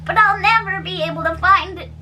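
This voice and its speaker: Bright, high young-sounding female voice, distant mic. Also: moderate pace. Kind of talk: amateur dramatic play reading. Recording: distant mic with background noise.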